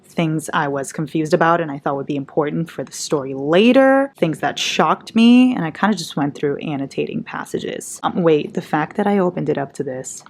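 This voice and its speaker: Young woman, slightly low, calm chatty book talk, faint background hiss, casual vlog delivery. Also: normal speed, gravelly, hardly any pauses. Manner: chatty and upbeat, with many "like"s and "kinda"s. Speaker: book vlogger. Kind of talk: casual chat to camera. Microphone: camera mic, speaking from behind the camera.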